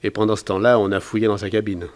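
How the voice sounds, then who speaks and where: An actor playing a resigned, talkative ship's security officer, conversational and wry, in a 1960s French radio serial. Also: male voice, lowish voice, level tone, fast talker, darkish tone, lightly acted. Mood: resigned, reporting.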